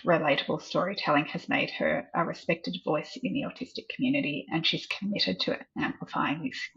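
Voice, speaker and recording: A woman's voice: fairly low pitch, gravelly, breathy edge. A woman hosting an online interview and introducing her guest, then asking reflective questions over a video call. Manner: warm welcoming introductions, asks reflective questions. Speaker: host and community founder. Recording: dull lo-fi video call audio.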